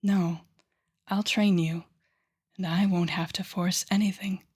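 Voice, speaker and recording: A woman performs a telepathic villainess for an audio roleplay. Her voice sits a little low for a woman. She is haughty and sardonic, teasing the listener with sighs and deliberate pauses, a softer heart hidden under the scorn. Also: fairly even intonation, slightly rough. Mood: smug, flirtatious. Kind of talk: intimate audio roleplay acting addressed to the listener.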